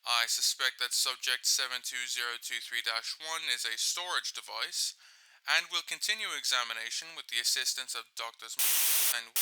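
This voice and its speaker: A man's voice: mid-range voice, bright, forceful tone, a little hiss or hum, poor audio. A man playing a methodical research scientist, dictating an audio log in a detached, precise, formal way. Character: clinical, methodical male research scientist logging findings.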